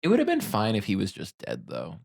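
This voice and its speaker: A man's voice: fairly low pitch, wildly swooping pitch, quick pace, gravelly, darkish tone. A man on a podcast panel, casually discussing films in an informal, blunt and wry manner. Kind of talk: casual film discussion chat.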